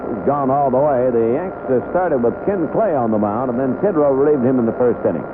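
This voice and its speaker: A man giving unhurried, measured live play-by-play of a baseball game as a radio announcer. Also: medium pitch. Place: ballpark broadcast booth. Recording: noisy narrowband 1970s AM radio broadcast.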